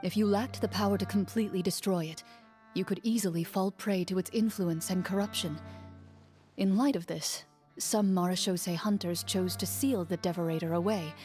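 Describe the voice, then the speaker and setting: A woman playing a composed, noble veteran monster hunter in a fantasy game, speaking in a calm, measured, firm way. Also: on the low side, natural voice. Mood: calm, probing. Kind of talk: scripted fantasy game dialogue. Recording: music playing under the voice.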